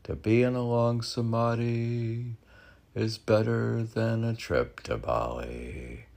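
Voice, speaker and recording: A man's voice: medium pitch, slow pace, gravelly, darkish tone. A man reading his own poetry, drifting into musing, meandering asides as if talking to himself. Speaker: poet reading own work.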